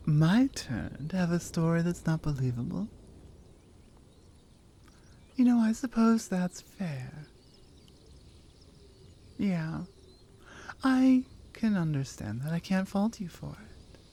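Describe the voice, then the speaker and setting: Actress as teasing Nordic warrior woman, ASMR audio drama. Nordic accent, mellow and slow, playfully dry and doubting. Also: low voice, husky, exaggerated voice. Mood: playful, candid. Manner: playful, dry, conversational with pauses. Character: strong, teasing, skeptical female Nordic warrior.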